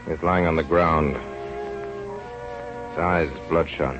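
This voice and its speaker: Low-pitched, earnest male doctor-scientist, grave and dramatic, in vintage radio style; muffled recording. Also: steady tone, takes it slowly, over music. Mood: grave, reflective. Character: earnest, driven male doctor and scientist, narrating his story. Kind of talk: 1930s radio drama acting.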